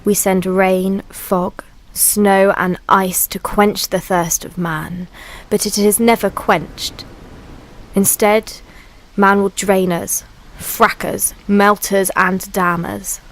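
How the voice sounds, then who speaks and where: Slow, solemn woman voicing the Sea as narrator. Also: mid-range voice, rough recording. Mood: solemn, brooding. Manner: grave, poetic, deliberate. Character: the ancient Sea itself, solemn, brooding female narrator. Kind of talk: scripted dramatic narration in a radio play. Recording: steady rain and water ambience behind.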